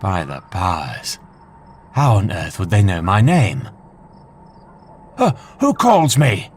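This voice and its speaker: A man playing a resolute, curious gentleman adventurer in a comic dub, with a brisk, commanding, proper manner. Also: low-pitched, wildly swooping pitch, slightly rough, full character voice. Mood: alarmed.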